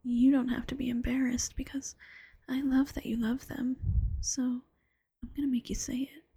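A woman's voice: sits high, little pitch movement, vocal fry, dark tone, breathy edge, no echo, heavily acted. Woman whispering as a teasing bunny girlfriend. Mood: teasing, coaxing. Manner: slow, coaxing and teasing. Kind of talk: ASMR roleplay.

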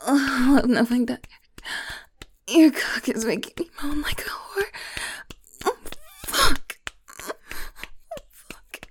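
A woman performing an intimate audio roleplay, whispering and moaning in a teasing, breathy manner. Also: sits high, animated, slow, long pauses. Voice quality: breathy, husky.

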